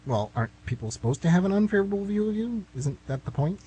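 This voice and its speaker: A man's voice: sits high, sing-song, fast talker, warm tone, breathy edge, heavily acted. A man playing a nervous, reluctant, wry writer in a comic radio play, with a hesitant, protesting manner. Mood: skeptical. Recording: amateur recording, noisy with hum.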